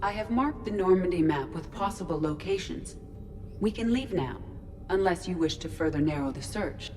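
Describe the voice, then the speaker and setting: A female artificial intelligence, played by a woman from a sci-fi video game. Her voice sits a little low and stays measured, exact and matter-of-fact. Music is clearly present behind her. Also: expressive, close to an everyday voice. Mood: calm, analytical. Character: calm, precise female ship's artificial intelligence.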